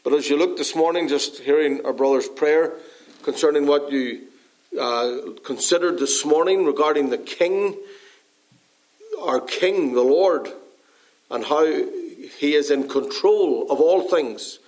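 A man from Northern Ireland with a Northern Irish accent, a pastor giving an earnest sermon in an unhurried way with frequent pauses and fillers, slightly hoarse from a dry cough. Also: on the high side, a little mellow, low-quality recording.

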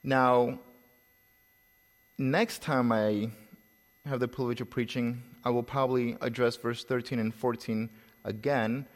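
A man from El Salvador with a slight Salvadoran Spanish accent, preaching a sermon in a relaxed storytelling way, with pauses and repeated words. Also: mid-range voice, big-room sound.

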